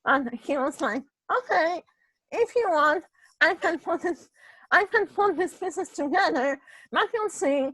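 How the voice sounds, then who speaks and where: A woman from Poland with a Polish accent and a husky voice, telling a personal story in casual chat, halting and restarting her phrases and often adding "right?". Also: very high-pitched, animated, fairly bright tone, dry recording, rough recording. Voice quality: husky voice, ongoing voice problem.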